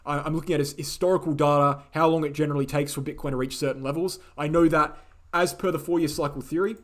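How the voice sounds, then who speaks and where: A man hosting a live question-and-answer stream, speaking in a casual, opinionated way, repeating key phrases for emphasis, his voice slightly croaky. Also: a little high. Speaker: host answering viewer questions. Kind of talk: casual livestream Q&A.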